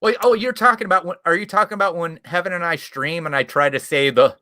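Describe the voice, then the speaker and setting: A Midwestern American man chats on a live stream in a bright, forceful voice. He rambles through stories and restarts often. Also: high voice, fast. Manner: rambling, chatty storytelling with frequent restarts and chuckles. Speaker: guest YouTube creator. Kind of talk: casual chat on a live stream.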